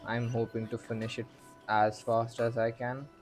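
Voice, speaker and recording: A man chatting casually while streaming gameplay, making low-key, offhand remarks. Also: little pitch movement, moderate pace, a little mellow, faint music behind. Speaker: game streamer. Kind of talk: casual live gameplay chat.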